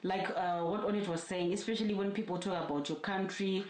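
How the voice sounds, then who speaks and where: A woman's voice: mid-range voice, steady tone, fairly quick, a little mellow. A woman from Zimbabwe talking casually in a group conversation. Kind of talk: casual chat. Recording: noisy, echoey room.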